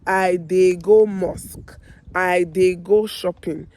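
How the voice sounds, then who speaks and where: A woman with a Nigerian accent and a hoarse voice, teaching everyday phrases to viewers with slow, deliberate repetition. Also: fairly low pitch, fairly even intonation, a little mellow. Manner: addresses viewers directly, repeats phrases slowly and deliberately. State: hoarse, losing her voice. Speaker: online language teacher, video host. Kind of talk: language lesson with slow repeated example phrases.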